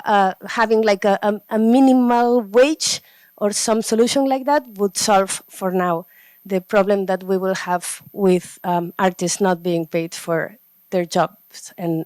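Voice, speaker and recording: A woman's voice: medium pitch, a little mellow, no room sound. A woman giving a conference talk, posing questions and answering them herself, with many fillers. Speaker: forum speaker. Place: conference hall.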